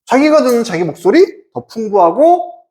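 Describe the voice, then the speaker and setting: A man's voice: very high voice, sing-song, moderate pace, a little mellow. A man teaching English in an explanatory lecture, emphatic and informal, often asking questions and answering them himself. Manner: asks and answers own questions, checking understanding. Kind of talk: explanatory exam-prep lecture.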